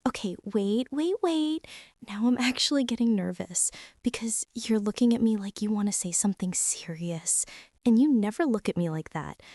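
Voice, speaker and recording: A woman playing a shy, self-doubting teenage art girl in a girlfriend ASMR roleplay, speaking in a nervous, halting, rambling way. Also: medium pitch, animated, dark tone, no room sound, clean studio sound. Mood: nervous, flustered.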